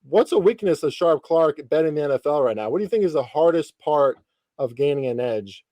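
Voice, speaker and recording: A man in his thirties hosts a casual sports podcast. His voice is mellow and relaxed, recorded close and dry. He talks informally, with slang and the odd swear word. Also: fairly high pitch, brisk, no echo. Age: 30s. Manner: informal, lightly profane slang. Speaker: podcast host interviewing a guest.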